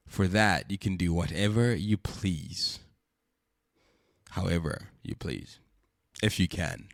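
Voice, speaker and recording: Congested male podcast host, lower voice, earnest and preachy, dry booth sound. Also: gravelly, darkish tone, dry recording. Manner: earnest, preachy, repeats rhetorical questions. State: congested from a cold. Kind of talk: reflective spoken monologue.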